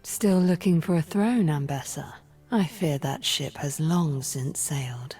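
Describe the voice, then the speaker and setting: Casting: imperious scheming game sorceress, low dark voice with a husky edge, measured menace. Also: female voice, soft background music. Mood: mocking. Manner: haughty, deliberate, menacing. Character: cold, scheming, commanding sorceress leader of a secret cabal. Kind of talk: video game character voice lines.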